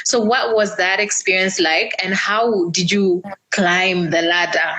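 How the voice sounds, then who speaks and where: A woman hosts a podcast and puts conversational questions to her guest, pausing on fillers. Her pitch sits in a middle range, and faint background noise can be heard. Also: steady tone, bright, forceful tone, poor audio. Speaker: podcast host. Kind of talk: interview questions.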